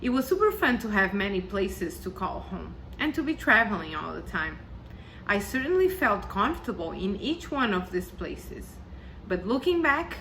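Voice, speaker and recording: A woman's voice: mid-range voice, a bit bright, slight background noise, rough recording. Earnest woman with a South American accent. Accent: South American Spanish and Portuguese. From Argentina and Brazil. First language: Spanish. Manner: earnest, carefully read, reflective. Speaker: guest speaker. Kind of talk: prepared personal talk.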